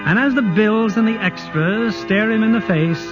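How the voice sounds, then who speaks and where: A man's voice: high-pitched, highs cut off, music in the background. A man giving polished, scripted narration for a 1940s radio play. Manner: polished, measured.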